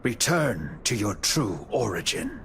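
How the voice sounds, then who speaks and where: A man narrating a video game story in a measured, dramatic storyteller style. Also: fairly low pitch, sing-song, gravelly, a bit bright, slight background noise. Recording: music bed under the voice. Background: music.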